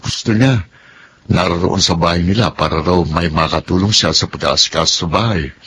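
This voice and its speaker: An actor playing a frustrated young husband of thirty who complains in an exasperated way. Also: male voice, deep voice, moderate pace, fairly bright tone, a little hiss or hum. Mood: rueful. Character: young husband Nathan, 30, frustrated, wants independence. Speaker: husband in radio drama. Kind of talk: Filipino radio drama acting.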